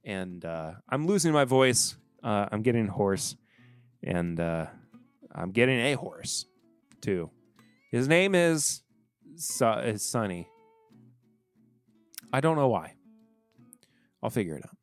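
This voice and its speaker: Chatty man with a lively voice. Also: fairly low pitch, slow pace, long pauses, clear, resonant tone, faint music behind. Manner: rambling, chatty, joking asides. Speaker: podcast host. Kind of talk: casual solo podcast outro.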